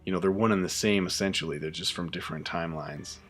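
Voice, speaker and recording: A man's voice: sits low, fast talker, fairly bright tone, faint music behind. A man hosting a live reaction stream, chatting casually with lots of fillers and restarts. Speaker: YouTube host reacting to a show.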